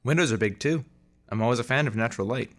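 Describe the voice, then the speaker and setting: A man's voice: mid-range voice, fast, fairly bright tone, close to an everyday voice. A man playing a practical, devoted husband in an audio drama, talking casually. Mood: casual, wary. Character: wary but devoted husband house-hunting.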